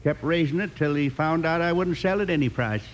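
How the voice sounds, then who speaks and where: An actor playing a worried, stubborn old rancher in an old-time radio western, folksy and plain-spoken with an American Western accent. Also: male voice, fairly high pitch, brisk, close to an everyday voice. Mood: plain, firm. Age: older. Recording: noisy old radio drama recording.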